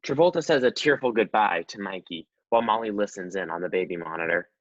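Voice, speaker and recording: A man's voice: lowish voice, lively intonation, fast. A man comedian giving sassy narration for a comedic radio play over slightly dull livestream audio.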